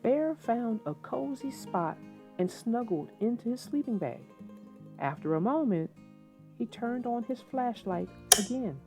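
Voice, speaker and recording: A woman's voice: a little high, animated, a little slow. A woman narrating and hosting a children's read-aloud, telling and discussing the story expressively. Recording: dull lo-fi sound, soft guitar music.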